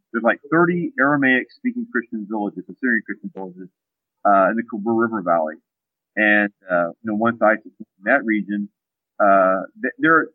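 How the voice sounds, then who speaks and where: Phone-in guest, a man with a deeper voice, talks in halting stops and starts, dry narrow line. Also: slightly rough, dry recording. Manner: halting, many fillers and restarts. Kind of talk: casual radio interview talk.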